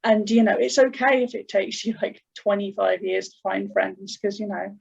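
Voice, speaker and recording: Woman panel guest, gravelly voice, dull lo-fi call sound, thoughtful. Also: medium pitch, flat delivery, moderate pace, a little mellow. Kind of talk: casual panel talk.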